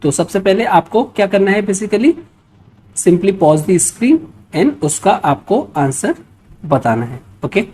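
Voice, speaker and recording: An Indian woman teaches history for exam students in a mellow, soft-edged voice. She reads out questions and explains them in a mix of Hindi and English, in an instructional way with frequent little check-in tags. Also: mid-range voice, expressive, takes it slowly. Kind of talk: explanatory lecture mixing Hindi and English, reading out quiz questions.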